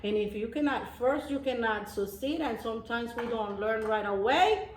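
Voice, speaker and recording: A woman reading a storybook aloud as a teacher of young children, pausing for simple explanations and repeating phrases. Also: on the high side, lively intonation, slight background noise. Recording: roomy echo, distant microphone.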